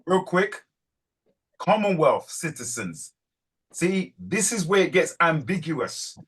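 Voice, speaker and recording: A man hosting a reading group on a video call, urging and animated, repeating phrases as he presses his points. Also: fairly high pitch, takes it slowly, breathy edge.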